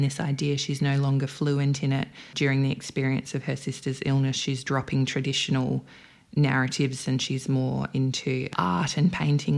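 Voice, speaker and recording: Flat, gravelly-voiced woman host. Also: very deep voice. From Australia. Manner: attentive interviewer, gives long setup questions. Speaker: podcast host. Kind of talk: podcast interview.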